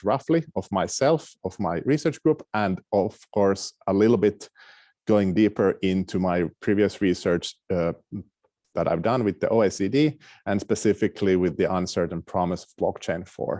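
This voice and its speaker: A middle-aged Finnish-accented man gives an academic lecture over a video call. His voice sits low, and the sound is close and dry. He speaks hesitantly, often restarting his phrases. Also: moderate pace, mellow, no room sound. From Finland. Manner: hesitant, many fillers and restarts. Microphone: video call microphone.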